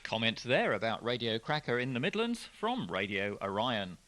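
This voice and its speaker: A man's voice: mid-range voice, very animated, moderate pace, sharp tone. A man announcing links between radio items. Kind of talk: linking announcements.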